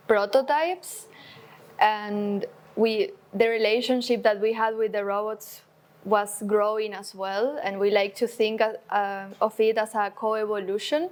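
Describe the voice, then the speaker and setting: Flat-voiced Argentinian woman presenting a talk. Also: mid-range voice. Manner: friendly, plain, with hesitant fillers. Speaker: dance artist giving a talk.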